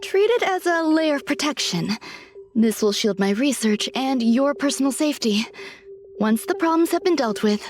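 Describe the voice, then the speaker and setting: Coolly polite genius scientist lady, highish voice, formal n precise, game dialogue w music under it. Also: lively intonation. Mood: calm, formal. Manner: formal, measured, precise wording. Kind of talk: scripted video game character dialogue.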